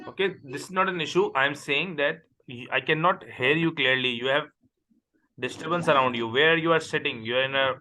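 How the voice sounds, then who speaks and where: A man's voice: a little high, bright, forceful tone. A man from Pakistan, a native Urdu speaker, speaking as an accounting tutor and patiently interviewing a student over a video call. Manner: patient, repeats questions slowly. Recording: noisy call audio.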